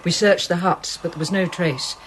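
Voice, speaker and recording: A woman's voice: fairly low pitch, steady tone, moderate pace, background noise, natural voice. An actress playing an earnest gallery woman in a radio play, insistent and pleading. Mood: troubled. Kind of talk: radio drama acting.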